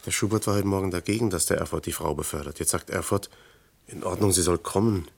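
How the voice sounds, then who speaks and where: Wary, grumbling functionary, husky bass-leaning voice, radio drama. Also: male voice, fast, darkish tone, heavily acted. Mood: grumbling, perplexed. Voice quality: gravelly, husky. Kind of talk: German radio drama acting.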